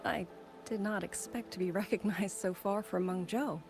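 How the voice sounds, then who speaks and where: An actress playing a gentle, wistful widowed musician turned soldier in a game, speaking in a soft, measured, reflective way. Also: female voice, medium pitch, over music, natural voice. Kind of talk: scripted game dialogue.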